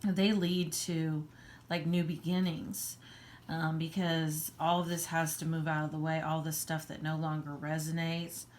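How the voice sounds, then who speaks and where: A woman with a hoarse voice giving a casual tarot reading, halting with many ums and frequent throat clearing. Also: sits low, slight background noise. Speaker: tarot reader.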